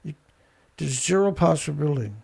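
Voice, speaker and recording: A man hosting a ranting commentary show, with a rough voice, blunt, angry and swearing. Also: on the high side, fairly quick, darkish tone. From Canada. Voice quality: rough, gravelly voice. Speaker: show host.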